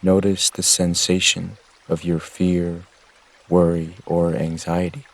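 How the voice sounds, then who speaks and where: A man gently guiding a meditation with measured, soothing instructions. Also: low voice, monotone, creaky. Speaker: meditation guide. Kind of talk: guided meditation narration.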